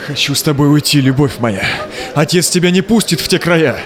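A man's voice: a little high, lively intonation, fairly quick, a crowd in the background, close to an everyday voice. An actor playing a devoted young man parting from his beloved, earnest and declamatory in verse. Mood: sorrowful devotion. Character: devoted young man in love, departing sorrowfully. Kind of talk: theatrical verse drama acting.

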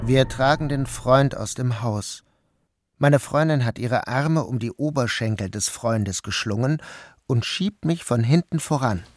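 A man's voice: medium pitch, a little slow, soft background music. Man reading a dreamlike novel. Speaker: audiobook reader. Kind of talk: literary first-person narration.

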